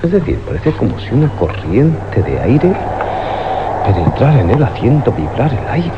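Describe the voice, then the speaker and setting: A man's voice: mid-range voice, sing-song, normal speed, heavy background noise, close to an everyday voice. Man acting stern police inspector, wide swooping delivery. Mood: puzzled musing. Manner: formal, authoritative, theatrical. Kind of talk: old radio drama acting. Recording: dull radio recording under loud music.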